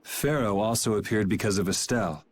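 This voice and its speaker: A man's voice: lowish voice, a little fast, a little hiss or hum, natural voice. A man voicing a laid-back, perceptive young hero in a video game, with a casual, wry manner. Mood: calm, probing.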